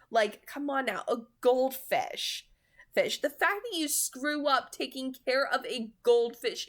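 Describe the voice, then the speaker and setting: A woman's voice: medium pitch, a bit bright. A woman in her twenties who reads books aloud and talks about them. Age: 20s. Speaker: reader and reviewer on a read-aloud channel. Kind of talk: audiobook-style reading, then casual book commentary.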